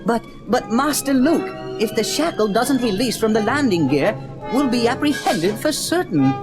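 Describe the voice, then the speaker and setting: Fussy, fretful male droid, prim and formal, highly animated delivery, audio drama with music underneath. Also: normal speed. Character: fussy, anxious, polite male protocol droid.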